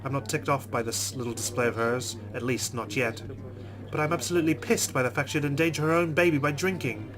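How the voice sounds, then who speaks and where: Let's player, male, mid-pitched, reads game narration dramatically. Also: brisk, over music. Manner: expressive reading with reactions. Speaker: let's player reading a visual novel. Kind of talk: dramatic first-person narration.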